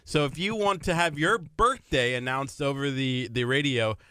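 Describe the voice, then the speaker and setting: A man's voice: mid-range voice, moderate pace, noisy background. A man co-hosting a live morning radio show in a studio, giving short agreeing replies, often repeating himself and laughing along. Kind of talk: casual live radio chat. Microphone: studio microphone.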